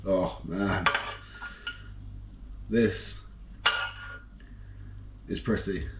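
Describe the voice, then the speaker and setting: Guy with a stuffy nose chatting while he cooks, lowish voice, pan sizzling loud behind him. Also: extremely slow. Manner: relaxed, enthusiastic about food, talks while cooking. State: blocked nose, bunged up. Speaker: fishing and cooking vlogger. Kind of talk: casual cooking commentary. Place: home kitchen with sizzling pan. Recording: echoey kitchen with frying noise.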